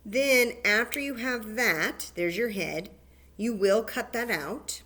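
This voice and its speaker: A woman's voice: fairly high pitch, takes it slowly, fairly bright tone. A woman hosting a library story time, guiding children patiently through a paper plate craft step by step.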